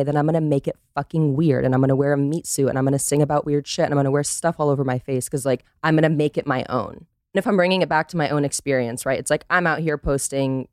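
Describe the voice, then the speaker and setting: Podcast guest, woman, clear smooth voice, dry close sound, candid and emphatic, swears freely. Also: rumbling low, a little mellow, no room sound. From Costa Rica. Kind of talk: candid casual conversation.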